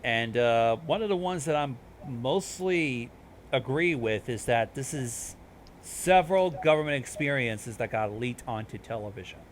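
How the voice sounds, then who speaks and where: A man's voice: medium pitch, lively intonation, measured pace, slight background noise. A man hosting with casual explanatory commentary, informal, rambling and freely profane. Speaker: host explaining videos.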